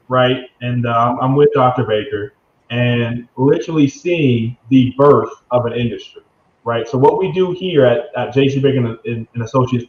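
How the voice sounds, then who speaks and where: A man in his early twenties giving confident, salesy explanations as an interview guest, often tagging phrases with "right?". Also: mid-range voice. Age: 20s. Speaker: interview guest, young business executive. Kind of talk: conversational interview answer, explanatory pitch. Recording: dull lo-fi sound, roomy echo, faint noise.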